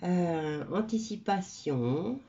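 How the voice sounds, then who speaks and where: A woman's voice: lowish voice, expressive, drawn-out words, mellow, dull audio. A woman with a stuffy cold doing a casual tarot reading for viewers, chatty and rambling with many hesitations. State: stuffy nose from a cold, coughing. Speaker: tarot card reader.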